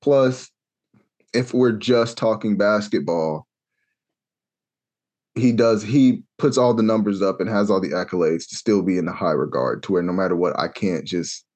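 A young adult man from Kansas telling a long, animated story in a casual podcast chat, acting out the voices he quotes. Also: lowish voice, normal speed. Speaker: podcast co-host.

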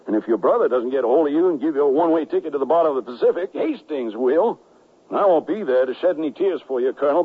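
A man's voice: fairly high pitch, slightly rough. An actor playing an anxious, scheming man in a western radio drama, speaking in an urgent, persuasive, articulate way. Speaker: narrator and character. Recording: dull lo-fi radio recording with music. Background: music.

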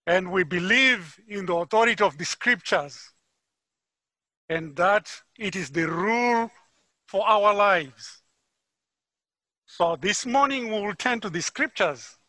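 Zimbabwean man preaching, bright and slow. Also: high voice, no room sound. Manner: measured, deliberate preaching that rises with emphasis. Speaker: guest preacher. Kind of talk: sermon. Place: church.

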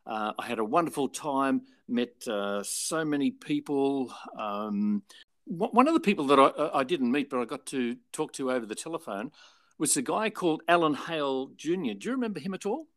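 An older Australian man, a former radio and television presenter, telling anecdotes in a relaxed interview and acting out the people he quotes. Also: mid-range voice, normal speed, no room sound. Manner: chatty, animated retelling with voiced quotes.

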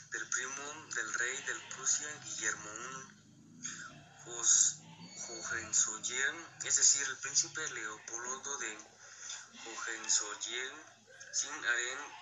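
A man co-presenting scripted educational narration, reading aloud in a halting way with stumbles on words. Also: mid-range voice, pushed and bright, a little hiss or hum, rough recording.

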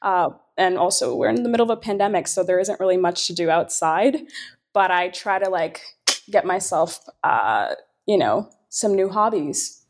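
A woman's voice: lowish voice, expressive, moderate pace. A woman in her twenties introducing herself in a chatty, self-deprecating way with many fillers. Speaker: team member introducing herself. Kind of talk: casual chat.

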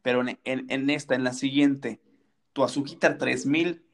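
A man's voice: medium pitch, steady tone, moderate pace. A man co-hosting a casual wrestling chat, playful and teasing, fond of rhetorical questions. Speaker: wrestling podcast co-host.

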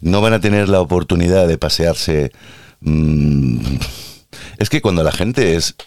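A man's voice: deep voice, unhurried, a little mellow, no echo, clean studio sound. A man with an Andalusian accent hosting a casual podcast monologue, ranting in a sarcastic, playfully theatrical way about work as a healthcare worker.

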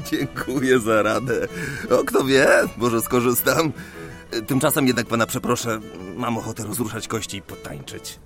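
A man's voice: mid-range voice, moderate pace, fairly bright tone, soft background music, lightly acted. A man playing a shy, polite young land surveyor in a radio play, modest and hesitant in manner. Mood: polite, amused.

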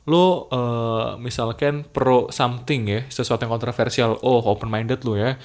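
A man, talking in relaxed podcast chat. His voice sits at an ordinary middle pitch. He drops English phrases into his slangy talk. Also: measured pace. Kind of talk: casual chat.

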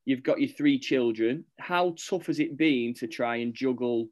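An upbeat man hosting a school podcast, mid-pitched and friendly in an encouraging way. He reads a scripted welcome, then slips into loose casual chat with his guest. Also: a little slow. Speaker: podcast host.

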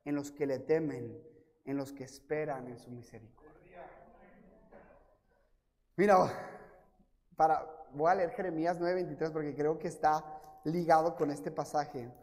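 A man from Mexico with a Mexican accent, preaching a sermon as pastor, telling self-mocking stories and acting out quotes in a lively way. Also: a little high, unhurried, long pauses. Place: church. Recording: reverberant church hall.

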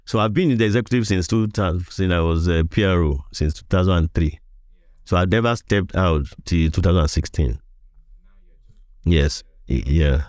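Deep nigerian guy being interviewed, casual, says phrases twice, dry sound. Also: normal speed, a little mellow, no echo. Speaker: podcast guest, veteran voiceover artist.

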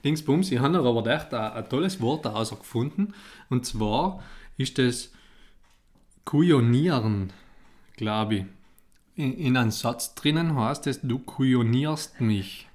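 A man's voice: medium pitch, lively intonation, unhurried, a little mellow. Man in dialect, chatting casually. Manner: colloquial, dialect-heavy. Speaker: podcast co-host. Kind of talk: casual banter and storytelling.

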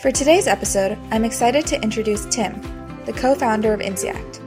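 A woman's voice: on the high side, fairly quick, over music. Upbeat woman podcast host. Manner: upbeat, enthusiastic. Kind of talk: scripted introduction and interview questions.

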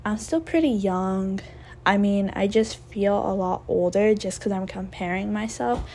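A woman's voice: mid-range voice, measured pace, mellow. A woman in her twenties hosting a casual solo podcast, talking in a rambling, reflective way with many fillers and restarts. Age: 20s. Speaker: podcast host.

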